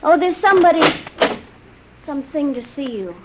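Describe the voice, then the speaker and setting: Office secretary, businesslike, highish voice, muffled old radio recording. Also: female voice, lively intonation, moderate pace, noisy background. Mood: matter-of-fact. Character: matter-of-fact female office secretary. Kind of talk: 1950s radio drama acting.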